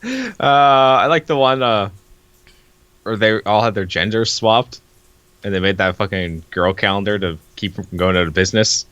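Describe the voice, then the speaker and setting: A man's voice: fairly low pitch, normal speed, fairly bright tone, dry recording, highs cut off. A man co-hosting with casual, joking commentary and a crude, wisecracking manner. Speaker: co-host of a comic read-along video.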